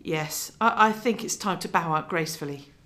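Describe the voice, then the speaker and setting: A middle-aged woman playing a gracious, quietly resolute journalist near retirement in conversational radio drama dialogue. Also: fairly low pitch, moderate pace, close to an everyday voice. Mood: wistful.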